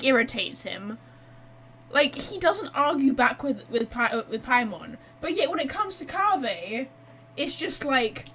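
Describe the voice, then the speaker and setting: A woman giving casual, expressive gameplay commentary as a streamer, teasing and informal. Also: sits high, husky. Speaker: streamer reacting to a game story.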